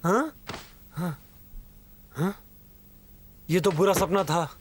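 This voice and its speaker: A man's voice: sits high, takes it slowly, a little hiss or hum. A man voicing a big, good-natured, blustering outlaw in a cartoon dub, with theatrical delivery. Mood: baffled disbelief. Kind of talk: dubbed cartoon acting. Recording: loud music under the voice.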